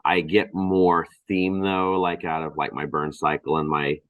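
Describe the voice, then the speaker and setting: Male co-host, deep voice, dry recording, loose conversational delivery with halting pauses. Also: little pitch movement, no room sound, narrow, tinny audio. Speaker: board game show host. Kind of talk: casual chat.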